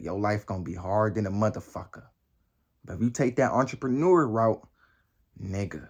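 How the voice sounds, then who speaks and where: Emphatic nineteen-year-old man, slangy and repetitive. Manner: voice climbs high and loud when pressing a point. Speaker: motivational vlogger. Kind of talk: motivational talk to camera.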